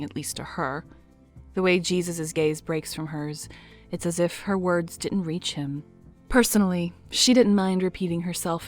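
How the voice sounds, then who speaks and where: A woman narrating an audio drama in a soft, storybook manner. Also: on the low side.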